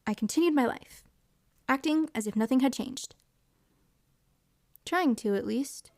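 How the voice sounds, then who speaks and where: Woman acting a shy, higher-voiced young hospital volunteer. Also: lively intonation, unhurried, darkish tone, a little hiss or hum. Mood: reflective. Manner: hesitant, stammering, apologetic. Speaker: female lead and narrator of an audio drama. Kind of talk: audio drama acting with reflective first-person narration.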